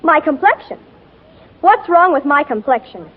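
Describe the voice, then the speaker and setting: A headstrong tomboy of fifteen in an old radio drama. The voice is high and girlish, earnest, slangy and gushing. The recording is muffled and old. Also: animated, moderate pace. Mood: pleading, indignant. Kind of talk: 1940s radio drama acting.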